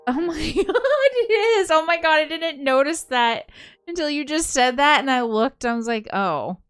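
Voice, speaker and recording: A woman reading a visual novel aloud as a game co-host, expressive in the story and giggly in her casual asides. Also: high voice, moderate pace. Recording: music playing behind the voice. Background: game soundtrack music.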